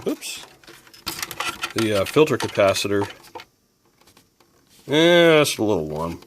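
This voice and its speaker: Casual male hobbyist presenter with a lowish voice, talking through a hands-on repair as thoughts occur. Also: sing-song, slow, slight background noise. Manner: musing, thinking aloud.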